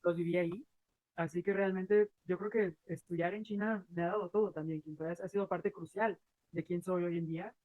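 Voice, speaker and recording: A man's voice: high-pitched, fairly even intonation, a little fast, phone-quality sound. A Mexican man in his thirties answering interview questions earnestly, rambling with many fillers and restarts. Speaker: guest.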